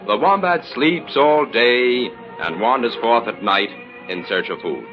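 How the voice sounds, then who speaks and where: An actor playing a learned, teasing detective hero with a schoolteacherly manner in an old-time radio drama. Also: male voice, mid-range voice, a little slow, lightly acted. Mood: wry, teasing. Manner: schoolteacherly, wry. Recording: muffled vintage radio recording, music behind.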